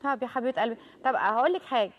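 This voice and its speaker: A woman's voice: fairly high pitch, muffled recording. A woman in her thirties with an Egyptian accent, giving medical advice as a guest on a TV talk show, reassuring and affectionate with callers. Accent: Egyptian Arabic. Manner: fast, reassuring, calls callers dear. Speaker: doctor guest. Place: TV studio.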